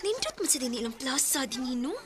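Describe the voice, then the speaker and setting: A woman playing a young shop worker in a radio drama, eager and bright. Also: high voice, sing-song, a little fast, noisy background. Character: young woman working as shopkeeper.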